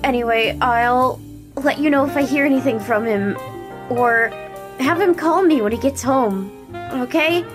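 Woman playing a high-voiced worried young woman. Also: unhurried. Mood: polite, reassuring. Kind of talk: audio drama acting. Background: music.

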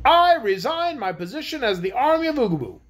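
A young army private from a storybook, played by a woman, courteous yet stubborn. The voice is raised in pitch and speaks plainly and firmly, in a matter-of-fact way. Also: very animated, fairly quick. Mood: defiant. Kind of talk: storybook character voice.

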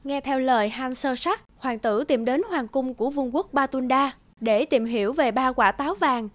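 High-voiced woman narrating a fairy tale. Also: normal speed, dry recording. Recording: music behind the voice.

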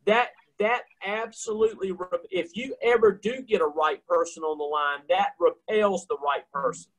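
Emphatic man with a high voice. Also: moderate pace, low-quality recording. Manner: emphatic and blunt, interrupts to drive points home. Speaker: host. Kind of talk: coaching interview on a video call. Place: home room. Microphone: video call laptop mic.

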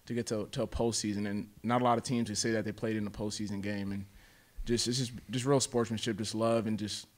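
Lowish-voiced young American athlete. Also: male voice, level tone, fast talker. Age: 20s. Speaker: college football player. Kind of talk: press conference answers. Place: indoor press conference room. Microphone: table microphone.

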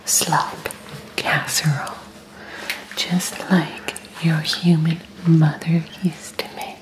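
Doting alien housewife, played by a woman, for ASMR: lowish hushed whisper, coddling and coaxing. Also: little pitch movement, low-quality recording. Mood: sympathetic, doting. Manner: slow, coaxing, babying. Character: doting alien housewife girlfriend, sweetly coddling, 1950s tradwife style. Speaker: ASMR performer.

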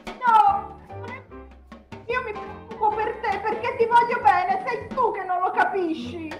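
Actress as a very high-pitched nagging wife. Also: female voice, a little slow, over music. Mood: worried, insistent. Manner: scolding, insistent. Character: anxious, nagging wife fussing over husband's health. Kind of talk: comic radio soap acting. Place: home kitchen. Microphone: video call. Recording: echoey video call.

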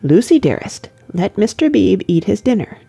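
A woman narrator gives voice to a prim spinster chaperone in a classic novel. She is restrained and formal, quietly controlling, with a mellow, creaky tone. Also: mid-range voice, sing-song, moderate pace, heavily acted.

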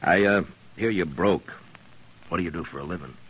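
Very deep, gruff western marshal. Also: male voice, normal speed, a bit bright, poor audio. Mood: easygoing, curious. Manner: gruff, authoritative. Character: stern, world-weary frontier town marshal. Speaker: lead actor and narrator. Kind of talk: 1950s radio western acting. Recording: 1950s radio broadcast with music.

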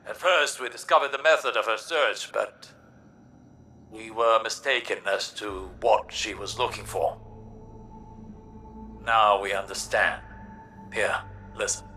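An actor playing an earnest, philosophical ally who gives grave, poetic counsel in dramatic scripted game dialogue. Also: male voice, fairly low pitch, unhurried, long pauses, pushed and bright, faint music behind. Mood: grave, urgent.

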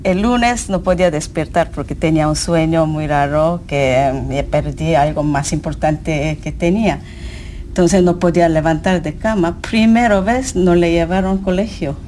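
A female interviewee with a Korean accent and a low voice. She speaks haltingly in broken grammar, with faint background noise. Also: dark tone. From South Korea.

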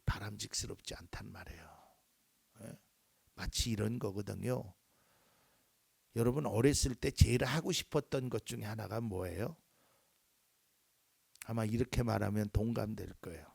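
A male pastor preaching a sermon in a lively way, acting out little quoted voices and dropping at times to a near whisper. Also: lowish voice, moderate pace, vocal fry, pauses often, slightly rough, no room sound.

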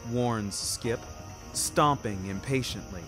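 Man, scripted story narration, medium pitch, slow deliberate delivery, dramatic, florid, clear music behind.

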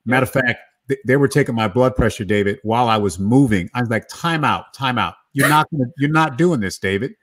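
A middle-aged man moderating a live video-call panel, animated and addressing each panelist by name. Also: medium pitch, fairly quick, hardly any pauses. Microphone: video call webcam with desk microphone.

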